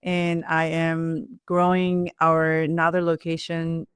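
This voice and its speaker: A woman with a Burmese accent tells her personal story as an entrepreneur being interviewed. Her voice is clear and smooth, her phrasing simple and halting, and the sound is dry and close. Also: sits low, monotone, no room sound. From Myanmar (Burma). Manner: non-native English with simple, halting phrasing. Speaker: restaurant owner and entrepreneur.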